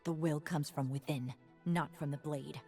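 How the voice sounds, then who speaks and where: Young ninja assassin girl in a game, really low voice, stern n cocky, deadpan short cutting lines. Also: moderate pace, husky, soft background music. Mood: menacing, confident. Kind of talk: video game champion voice lines.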